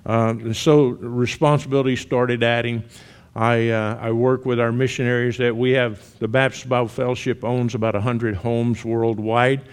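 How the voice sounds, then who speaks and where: Older Southern male missionary, sixties, relaxed conversational church talk with light humor. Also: mid-range voice, normal speed, clean tone. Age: 60s. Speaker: missionary guest speaker.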